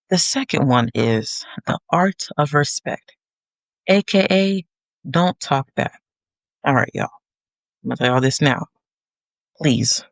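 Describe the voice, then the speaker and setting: Sassy young woman with a gravelly voice. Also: extremely low pitch, no echo. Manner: sassy, animated, teasing asides to the audience. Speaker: podcast host. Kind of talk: casual monologue to listeners.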